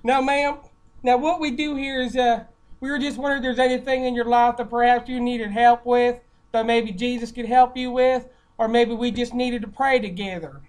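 A woman's voice: on the high side, fairly even intonation, moderate pace, a little mellow, natural voice. A woman putting on a chatty, folksy church outreach caller in a prank call, gushing and deferential. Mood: polite, solicitous. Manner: gushing, folksy, deferential, repeatedly saying ma'am. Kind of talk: improvised prank phone call.

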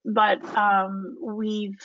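Female board chair, measured report, flat intonation, dull call audio. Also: mid-range voice, slight background noise. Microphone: video call.

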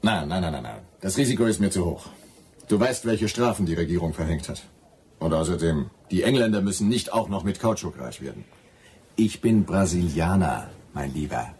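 A man's voice: deep voice, lively intonation, moderate pace, a little mellow, breathy edge, heavily acted. An actor playing a cautious, patriotic Brazilian boatman in a German radio drama, blunt and grumbling. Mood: wary, stubborn. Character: gruff, cautious, patriotic Brazilian boatman, grudgingly won over.

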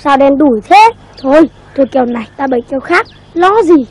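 A woman's voice: high voice, animated, fast talker, darkish tone. A woman voicing a cocky, scheming schoolboy in a cartoon, bossy, boastful and given to exclaiming. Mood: dismayed. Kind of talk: cartoon voice acting.

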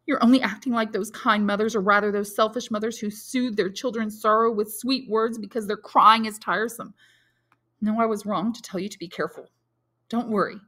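A woman's voice: medium pitch, fairly even intonation, brisk, sharp tone, voice pushed up. A woman reading aloud and voicing a lovesick young male soldier in despair, speaking with raised, impassioned pleading. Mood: anguished.

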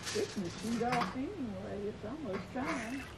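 A middle-aged woman with a Southern US accent, chatting excitedly while fishing from a pond dock, recorded outdoors on a camera. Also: fairly high pitch, moderate pace, very noisy. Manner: chatty, excited running commentary. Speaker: homestead channel host. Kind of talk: casual chat while fishing.

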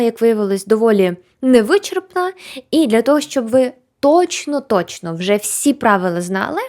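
A woman teaching a language lesson in an animated, chatty, encouraging way with emphatic repetition. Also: on the high side. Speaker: Korean language teacher and video host. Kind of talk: conversational language lesson to camera.

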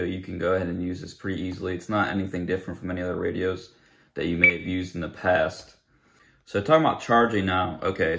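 Young guy with a low voice doing a laid-back unscripted review, just explaining stuff plainly in his living room. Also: gravelly, a bit bright, a little hiss or hum. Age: young adult. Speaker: product reviewer. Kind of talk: casual unscripted product review.

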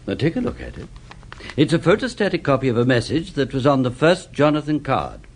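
An actor playing a brisk senior police officer with a British accent in a radio drama, formal and probing. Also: male voice, mid-range voice, sing-song, a little hiss or hum.

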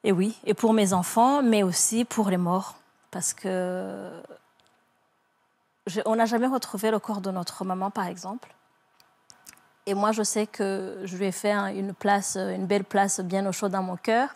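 Woman in her forties from Rwanda, a guest on a TV set, halting and soft-spoken, restarting phrases, on a lapel mic. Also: medium pitch, fairly even intonation. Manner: soft, halting, many hesitations and repeats. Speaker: guest, psychologist. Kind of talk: emotional TV interview testimony. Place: TV studio set.

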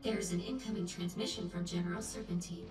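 A woman's voice: fairly low pitch, a little fast. A woman playing a formal, obedient robot assistant in a video game, with echoing robotic processing. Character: servile, slow, mechanical robot assistant to a villain. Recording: echoey game audio over music.

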